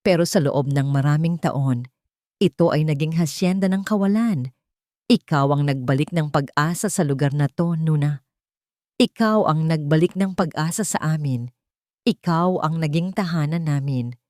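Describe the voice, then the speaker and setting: A woman's voice: low-pitched, normal speed, darkish tone, no room sound, studio quality, close to an everyday voice. A woman voicing a devoted wealthy man in love, speaking in earnest, emotional declarations in a narrated romance. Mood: tender, emotional.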